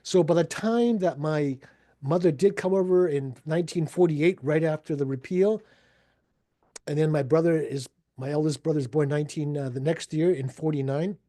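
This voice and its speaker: A man's voice: a little high, moderate pace, dark tone. A man contributing author on a video panel, telling a family story with hesitant fillers and a wry touch. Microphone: video call.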